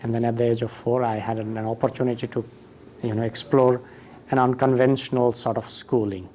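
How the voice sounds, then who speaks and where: A man's voice: mid-range voice, little pitch movement, fairly quick, mellow, a little hiss or hum. A man, a school director telling his story in a conference interview, thoughtful with fillers and restarts. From Nepal.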